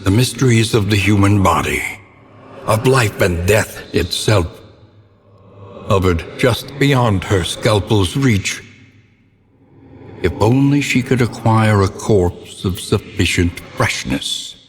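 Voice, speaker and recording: An actor playing a grave, ominous narrator of gothic horror tales in a video game, with a solemn, theatrical and deliberate delivery. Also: male voice, on the low side, animated. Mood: grave, macabre. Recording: long cavernous reverb, noticeable background noise.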